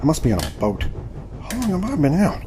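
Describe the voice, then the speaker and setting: A man's voice: a little high, huge pitch swings, a little fast. Man acting proud old-time Southern vigilante, noisy background. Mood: groggy, bewildered. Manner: formal old-fashioned speech, theatrical. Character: proud, indignant Southern vigilante crossbowman of the 1870s. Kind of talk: audio drama with first-person narration.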